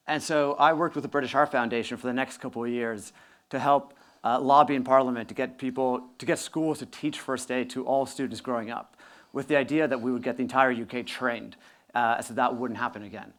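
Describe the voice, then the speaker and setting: A man from northern Italy speaks on a conference stage through a stage mic. He tells his story fluently and earnestly, in a steady mid-pitched voice. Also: a little fast. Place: conference stage before an audience.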